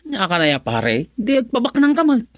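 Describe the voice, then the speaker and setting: A man's voice: extremely high pitch, wildly swooping pitch, a little fast, a bit bright, dry recording, exaggerated voice. An actor playing a joking male friend and drinking buddy who teases his pal, in a radio drama. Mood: teasing. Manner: teasing, laughs often.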